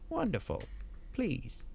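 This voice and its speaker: A man's voice: high-pitched, creaky, noisy background, full character voice. A man playing a suave, deferential hotel manager in an audio drama, formal, courteous and theatrical. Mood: unctuous welcome.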